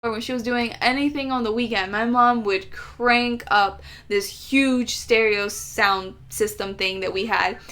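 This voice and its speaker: An American woman vlogs in a casual, chatty way, playfully exaggerating her complaints. Her voice sits a little high. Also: steady tone, a little slow, few pauses, fairly bright tone, slight background noise. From United States. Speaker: travel vlogger. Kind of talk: casual storytelling vlog.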